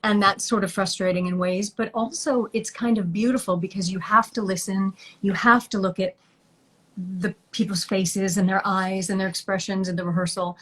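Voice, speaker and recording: A woman stage actress answering interview questions over a video call, warm and thoughtful, quick to laugh. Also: mid-range voice, steady tone, rough recording.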